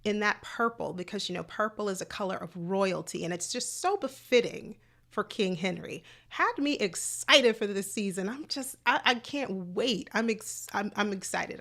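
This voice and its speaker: A woman hosting a live sports talk stream, chatty, greeting viewers in the chat by name, her voice slightly hoarse. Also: mid-range voice, expressive, normal speed, a bit bright. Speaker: host.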